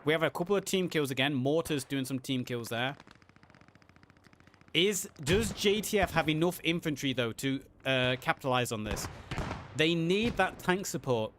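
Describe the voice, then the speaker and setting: A man casting a live game match, play-by-play with fillers, a little hoarse as he is losing his voice. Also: a little high, normal speed, a little hiss or hum. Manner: excited play-by-play with frequent uh fillers. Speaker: esports caster. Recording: audible game gunfire and artillery behind. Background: game gunfire and artillery sounds.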